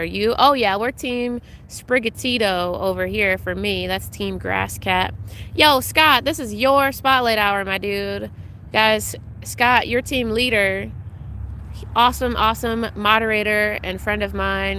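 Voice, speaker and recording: A woman's voice: mid-range voice, sharp tone, a little hiss or hum. A young adult woman streaming live, chatting casually and greeting viewers by name, her voice slightly congested, with frequent ums. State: congested, recovering from illness.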